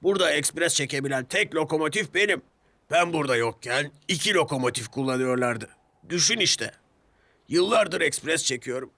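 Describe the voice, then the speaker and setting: A man's voice: a little high, lively intonation, moderate pace, sharp tone, no echo, pitched above the performer's own voice. A man voicing a proud, boastful big male express engine in a children's story, with a pompous, condescending manner.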